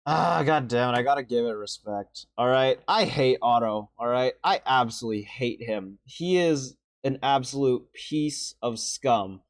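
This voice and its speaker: A man's voice: animated, measured pace, few pauses, dry recording. Earnest young man, dry close sound. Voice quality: deeper voice. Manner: earnest, emotional, rambling with restarts and sighs. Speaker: video creator ranking animated shorts. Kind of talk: casual commentary.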